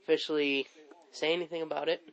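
A man's voice: fairly high pitch, level tone, fast talker, dull audio. A man hosting a podcast, sounding nasal from a head cold, easygoing and prompting his co-host with short questions. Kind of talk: casual podcast chat.

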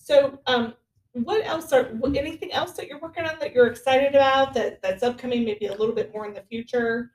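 A woman's voice: sits high, a little fast, a little mellow. Distant-miked woman interviewing casually. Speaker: podcast host, interviewer.

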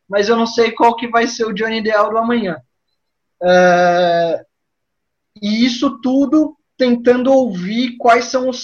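A man's voice: high voice, dull audio. A man in his thirties, a podcast guest, speaking thoughtfully and hesitantly, with many fillers. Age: 30s. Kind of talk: reflective casual conversation.

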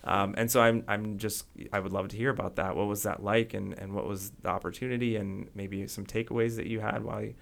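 A middle-aged man from hosting a casual podcast interview, talking haltingly with many fillers and restarts. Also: fairly low pitch, flat delivery, gravelly. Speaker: podcast host.

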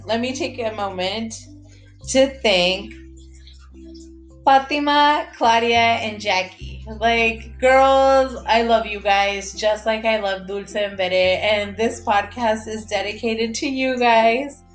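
A woman in her thirties talks straight to her podcast listeners, quick and excited, drawing out her words. She swears casually and slips Spanish phrases into her talk. Faint music plays softly underneath. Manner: excited, fast and high-pitched, stretching words, swearing often. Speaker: podcast host.

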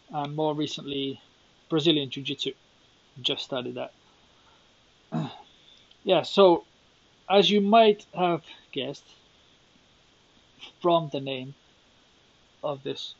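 A man's voice: on the high side, dull audio. A young Welsh man hosting a solo podcast, thinking aloud and rambling with self-deprecating asides. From Wales. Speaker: podcast host.

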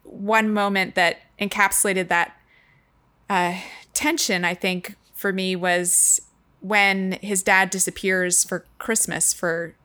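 A woman's voice: mid-range voice, little pitch movement, takes it slowly, bright, forceful tone, clean tone. A woman co-hosting a book discussion in a casual, conversational style.

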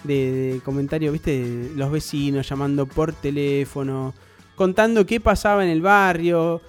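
A man's voice: fairly high pitch, a little slow, soft background music. A man with an Argentine Rioplatense accent, a radio host telling a nostalgic story in a chatty, rambling way full of tag questions.

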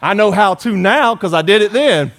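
A man, preaching a sermon in a folksy, joking way, rising loud and dropping soft. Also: sits high, expressive, normal speed. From Georgia. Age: 40s. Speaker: pastor.

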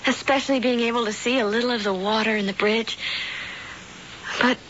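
Hissy old radio drama, actress as sweet naive young small-town woman, highish voice, earnest, shy, polite. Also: steady tone, fairly quick, fairly bright tone, dull audio, close to an everyday voice.

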